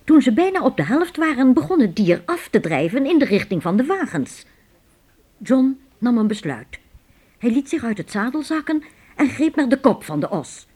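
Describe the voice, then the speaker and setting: A woman narrating a radio play in a dramatic way, sometimes dropping to a hushed whisper. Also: on the high side, very animated, moderate pace, husky.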